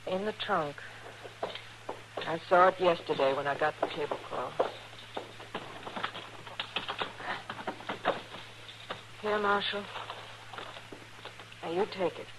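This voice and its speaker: An actress playing an older, weary and protective frontier mother in an old radio western, with a creaky rural American voice and plaintive delivery. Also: lowish voice, a little slow, pauses often. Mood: pleading. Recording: noisy vintage radio recording.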